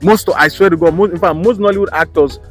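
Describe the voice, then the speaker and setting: A man's voice: high voice, fast, faint music behind. A young Nigerian man with a Nigerian accent, hosting a chatty interview in a playful way and slipping into pidgin. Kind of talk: casual interview chat.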